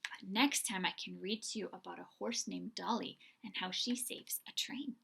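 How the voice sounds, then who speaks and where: A woman hosting a read-aloud for young children, telling the story in an expressive, deliberate way. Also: mid-range voice, vocal fry, breathy edge. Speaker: children's story reader and host.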